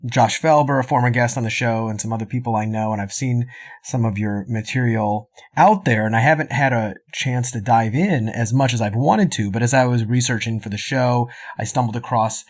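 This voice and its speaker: A man hosting a podcast interview, talking in a chatty, rambling way with many fillers. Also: mid-range voice. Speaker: podcast host. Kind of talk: casual interview chat.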